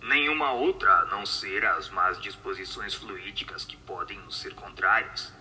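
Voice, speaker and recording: A man's voice: lowish voice, moderate pace, pushed and bright, slight background noise, voice pushed down, low-quality recording. A man voicing a solemn, assured spirit who answers questions in a radio drama.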